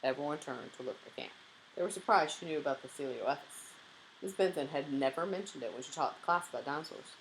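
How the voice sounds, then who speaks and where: A woman's voice: sits low, quick pace, slight background noise, rough recording. A woman narrating a children's storybook aloud expressively, like a teacher reading to young pupils.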